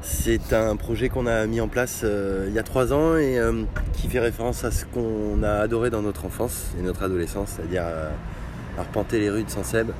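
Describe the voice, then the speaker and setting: A middle-aged man who owns a bar, answering interview questions in a casual, hesitant way with many pauses and fillers. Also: lowish voice, fairly even intonation, background noise. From France. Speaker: bar owner.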